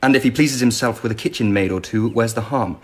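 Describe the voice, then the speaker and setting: An actor playing a genial, indulgent but shrewd Emperor in a radio drama, urbane and teasing in manner. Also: male voice, medium pitch, brisk, slightly rough, lightly acted. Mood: amused, indulgent.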